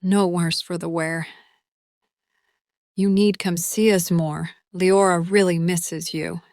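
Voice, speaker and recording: A woman playing a resilient, caring wife in an audio drama, gentle and reassuring. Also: on the low side, fairly even intonation, slow, no room sound, clean studio sound. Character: resilient, caring young wife, expecting a child.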